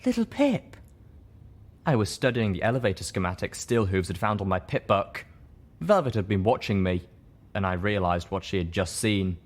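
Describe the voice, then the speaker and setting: A man's voice: low voice, a little fast. A man narrating a story in a dramatic audiobook style. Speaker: story reader.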